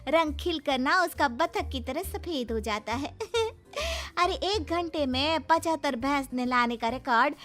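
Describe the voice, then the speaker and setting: A very high, bright young bride, played by a woman, boastful and dramatic. She talks at an unhurried pace in a theatrical, chatty cartoon style. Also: expressive, faint music behind. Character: boastful, dramatic young newlywed daughter-in-law. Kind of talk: cartoon moral-story acting.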